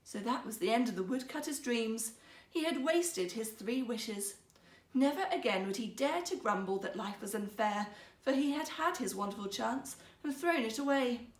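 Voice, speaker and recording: A woman's voice: a little high, lively intonation, moderate pace, recorded from a distance. A woman storyteller reading a fairytale aloud in a theatrical style. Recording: roomy, echoing sound.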